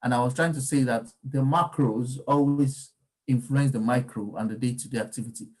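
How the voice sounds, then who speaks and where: A man's voice: medium pitch, moderate pace, darkish tone. Guy talking crypto markets, casual and rambly, keeps restarting his sentences. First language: Yoruba. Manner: discursive, frequent ums and restarts. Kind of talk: casual explanatory talk.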